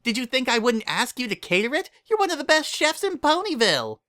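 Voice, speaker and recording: A bubbly young female pony party planner acted out by a man in a story reading. The voice is very high and bright, gushing with cheer and swooping with excitement. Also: fairly quick, no room sound, exaggerated voice. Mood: excited, gushing.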